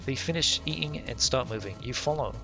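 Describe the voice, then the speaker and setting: A man's voice: mid-range voice, lively intonation, a little fast, over music. A man live-streaming a game, reading its text aloud and commenting in a casual, slangy, sweary way.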